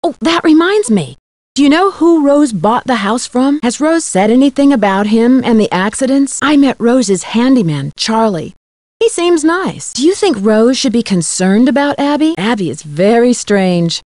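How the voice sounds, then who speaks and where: Curious, high-voiced young detective. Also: female voice, sing-song, moderate pace, a little mellow, dry recording, clean studio sound. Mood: inquisitive. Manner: inquisitive, articulate, friendly. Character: curious, polite young female amateur detective. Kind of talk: video game voice acting.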